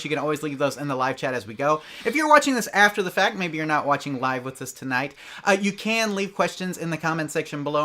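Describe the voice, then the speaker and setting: A man's voice: on the high side, sharp tone. A man co-hosting a live call-in show, upbeat, chatting and reading viewer messages aloud. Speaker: co-host and producer.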